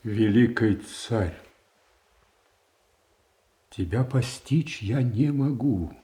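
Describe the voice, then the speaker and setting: An actor in a radio play playing a proud, blunt prince who speaks in a solemn, declamatory, reproachful manner. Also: male voice, medium pitch, animated, drawn-out words, mellow. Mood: indignant, accusing. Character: proud, blunt, indignant old-Russian prince. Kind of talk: theatrical radio drama acting.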